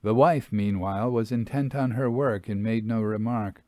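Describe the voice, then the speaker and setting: A man narrating a Victorian ghost account as a scripted reading, in a measured, genteel manner. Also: lowish voice, steady tone, a little mellow, no echo, clean studio sound. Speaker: narrator reading a book aloud.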